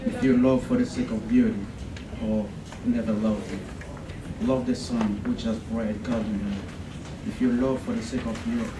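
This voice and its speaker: A man reading poetry aloud at a podium in a college room. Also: mid-range voice. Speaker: poetry contest reader. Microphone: podium microphone, filmed from the audience. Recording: echoey room, distant, clear background noise.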